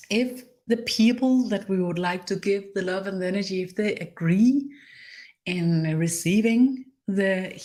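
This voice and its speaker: A woman with a Danish accent, her first language Danish, leading a live-streamed spiritual healing session in a halting way, pausing to search for words. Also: mid-range voice, darkish tone. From Denmark. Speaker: energy healer.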